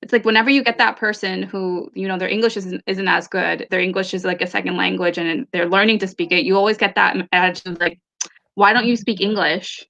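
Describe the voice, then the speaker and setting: A woman whose first language is Tamil talks through a book club discussion over a video call, picking her way through her thoughts with fillers and restarts. Her voice is bright and a little tense. The call audio is dull and lo-fi. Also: mid-range voice, steady tone, fairly quick, creaky. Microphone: laptop or phone mic on a video call.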